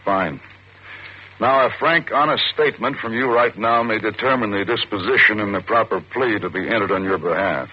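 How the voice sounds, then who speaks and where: Stern american district attorney, clipped and formal, deepish voice, muffled old radio drama. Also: male voice, normal speed, husky. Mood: stern, pressing. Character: stern, forceful deputy district attorney, relentless interrogator. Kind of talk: old-time American radio suspense acting.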